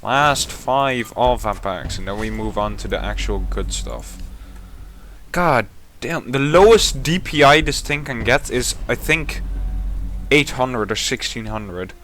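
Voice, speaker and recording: Sarcastic gamer guy with excited yells. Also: medium pitch, takes it slowly, a little hiss or hum. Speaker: gaming content creator. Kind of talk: casual commentary while opening game packs.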